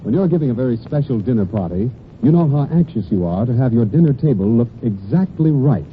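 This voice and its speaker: A man's voice: mid-range voice, expressive, fairly quick. A man reading a scripted 1940s radio commercial as an announcer, earnest and persuasive, with careful phrasing. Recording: dull, noisy 1940s radio broadcast.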